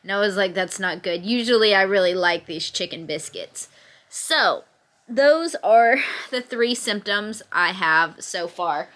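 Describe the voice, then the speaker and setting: Bright-voiced sick woman, stuffy. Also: mid-range voice. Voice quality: occasional cough, slightly stuffy nose. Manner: chatty, laughs through her complaints. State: sick with fever, congested. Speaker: vlogger. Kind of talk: casual chat to camera. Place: in bed at home, bundled in a sweatshirt.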